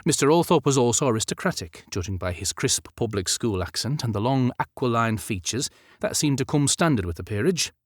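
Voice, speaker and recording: A man's voice: medium pitch, lively intonation, a little fast, no echo, clean studio sound. A man narrating a period romance audiobook as scripted fiction.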